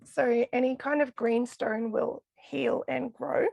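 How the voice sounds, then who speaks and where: A woman's voice: a little high, fairly even intonation, slow pace, vocal fry, a little mellow, dry recording. A woman losing her voice, talking enthusiastically about crystal healing as a podcast guest. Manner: enthusiastic, chatty with frequent laughs. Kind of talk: casual podcast interview.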